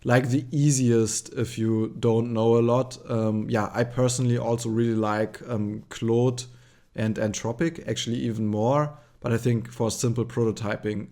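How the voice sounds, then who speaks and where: German-accented man thinking aloud through a tutorial. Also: mid-range voice. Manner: relaxed, thinking aloud with many ums and likes. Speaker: tutorial host. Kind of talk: casual screen-share tutorial.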